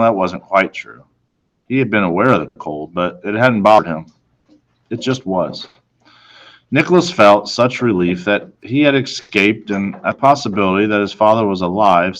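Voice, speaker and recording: A man reading a children's storybook aloud in a dramatic manner, with deliberate pauses. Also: on the low side, rough recording. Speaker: storybook reader.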